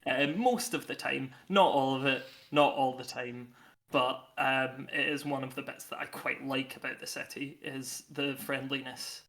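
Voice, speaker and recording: A man's voice: medium pitch, steady tone, a little slow, a bit bright. A man from Scotland with a light Scottish accent, chatting wryly on a live stream and laughing mid-sentence. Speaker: production manager. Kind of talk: casual chat on a live stream.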